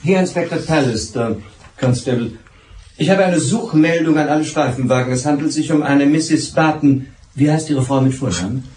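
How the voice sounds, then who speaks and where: Police inspector for radio drama male actor: slightly high male voice, methodical, probing, dryly authoritative. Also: a little mellow, slight background noise, lightly acted. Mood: businesslike. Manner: probing, deliberate, authoritative, dry. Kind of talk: German radio drama acting.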